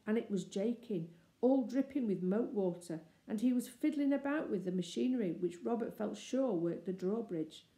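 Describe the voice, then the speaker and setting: A woman reading a children's story aloud as scripted narration, expressive and measured. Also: mid-range voice. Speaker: storybook reader. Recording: echoey room.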